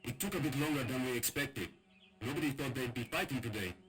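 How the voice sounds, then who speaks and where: An actor playing an apologetic male resistance fighter reporting back in a video game cutscene, hurried and explanatory. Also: mid-range voice, fairly bright tone, natural voice.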